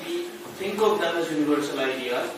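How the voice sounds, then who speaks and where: A man raising questions in an academic discussion, speaking deliberately with frequent filler sounds. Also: on the high side, expressive. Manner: deliberate, many filler uhs. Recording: echoey room, far from mic, noisy.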